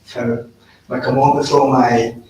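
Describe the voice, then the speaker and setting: A man's voice: medium pitch, a little slow, a little hiss or hum. South African man telling his story. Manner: earnest storytelling, animated when quoting others. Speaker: guest speaker. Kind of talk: personal talk to a live audience. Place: event room. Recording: echoey room, distant mic.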